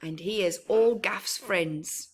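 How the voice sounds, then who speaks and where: A woman reading a picture story expressively to young children, in a deliberate manner with asides to her listeners. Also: mid-range voice, slightly rough.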